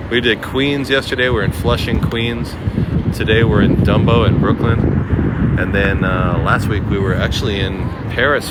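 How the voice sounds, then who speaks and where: A man hosting a casual livestream while walking outdoors by a city waterfront at sundown, rambling and greeting viewers by name. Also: on the low side. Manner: rambling, chatty, reads out viewer names. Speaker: livestream host. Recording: loud outdoor city noise. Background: city traffic, trains and boats.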